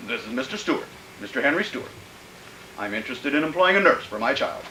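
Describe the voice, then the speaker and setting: An actor playing a sinister, gleefully deranged killer who poses as a polite employer, fussing and repeating himself in old-time radio thriller style. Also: male voice, mid-range voice, big pitch swings, quick pace, sharp tone, roomy sound, a little hiss or hum, rough recording. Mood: brisk, agitated. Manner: fussy, repetitive, muttering to himself.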